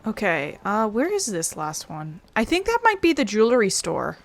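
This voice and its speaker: A woman's voice: medium pitch, wildly swooping pitch, moderate pace, vocal fry, soft background music. A woman giving casual, rambling let's play commentary, thinking aloud as she goes. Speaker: gaming channel host.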